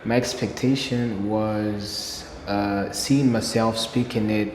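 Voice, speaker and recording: Low-voiced man from Burundi, echoey room. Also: fairly even intonation, slow, darkish tone. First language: Kirundi. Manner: earnest, repeats key phrases for emphasis. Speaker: interview guest, English learner. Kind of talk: casual interview answers. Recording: echoey room with long reverb, faint noise.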